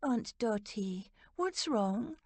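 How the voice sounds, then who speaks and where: A woman voicing a caring, decisive young English lady with a brisk, practical manner in a storybook reading. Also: fairly high pitch, lively intonation, a little mellow, no echo, voice pushed up, full character voice. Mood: concerned.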